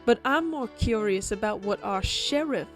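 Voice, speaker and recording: A woman's voice: mid-range voice, animated, normal speed, music in the background, close to an everyday voice. A woman playing a coolly menacing outlaw circus ringmaster in an Old West audio drama, mock-polite and theatrical. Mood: amused, probing.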